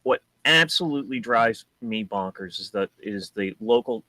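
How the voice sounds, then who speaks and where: A man from New Hampshire co-hosting a casual car talk show, rambling and animated with many restarts. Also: lowish voice, normal speed, no echo. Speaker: co-host. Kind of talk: casual chat.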